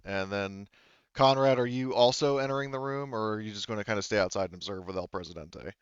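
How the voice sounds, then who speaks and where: A man running a tabletop role-playing game, narrating casually as game master in a smooth, clear voice. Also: fairly low pitch, quick pace, no echo, lo-fi sound.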